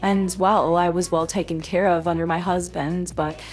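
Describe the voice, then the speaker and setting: A woman playing a scheming, falsely sweet gold-digging widow in a roleplay drama, coy and smug with drawn-out mock sympathy. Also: lowish voice, steady tone, a little mellow, lightly acted. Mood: coy, menacing.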